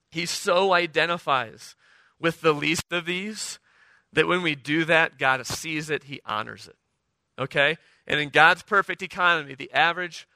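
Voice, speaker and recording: A middle-aged man preaching a sermon, hoarse from the flu, talking conversationally and often checking in with the congregation. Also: on the high side, pushed and bright, dry recording. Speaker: pastor.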